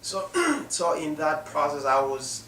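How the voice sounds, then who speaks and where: A young man from South Sudan with a South Sudanese accent tells a personal story unscripted, in a halting way with pauses mid-sentence. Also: a little high, sing-song, bright, forceful tone. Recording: echoey room, distant mic, faint noise.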